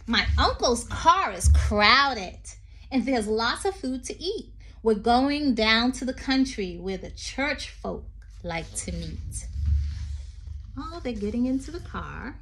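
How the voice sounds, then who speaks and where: Slow, high-voiced woman reading to children. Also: a bit bright, a little hiss or hum. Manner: sing-song, drawn-out emphasis with chatty asides. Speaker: teacher reading to her class. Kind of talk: expressive picture-book read-aloud of a poem for young children. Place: small room indoors. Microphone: phone at arm's length.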